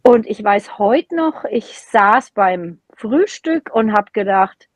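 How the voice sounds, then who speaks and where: A middle-aged woman talks as a guest on a podcast. Her voice sits at a medium pitch, and she tells her own stories casually, with a dry sound free of room echo. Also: expressive, takes it slowly. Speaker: podcast guest, knitting video creator. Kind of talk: casual personal storytelling.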